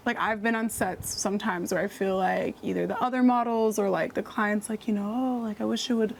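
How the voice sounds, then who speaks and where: A woman's voice: medium pitch, steady tone, fairly quick, vocal fry, slight background noise. A woman hosting a podcast, chatting casually with a guest, full of fillers and encouraging asides. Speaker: podcast host. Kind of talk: casual interview chat.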